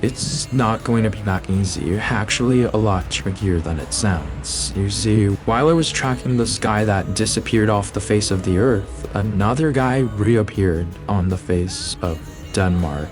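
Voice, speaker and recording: A man playing a cool private investigator in an amateur voiced roleplay, speaking in a deliberate, dramatic, businesslike way. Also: on the low side, natural voice. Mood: grave. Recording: music behind the voice.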